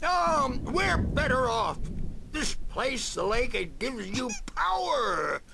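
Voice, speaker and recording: An actor playing a frail, tired, eccentric old rock musician in a video game, with a high cracked voice and wandering, halting talk. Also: male voice, very animated, vocal fry, pushed and bright, heavily acted. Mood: wry. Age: older. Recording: noisy game capture with clicks.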